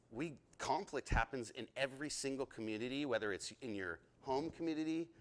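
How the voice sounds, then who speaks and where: A man in his thirties teaching a leadership lesson to a group, excitable and chatty, often asking the group questions. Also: medium pitch, normal speed. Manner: excitable, talks a lot, asks the group questions.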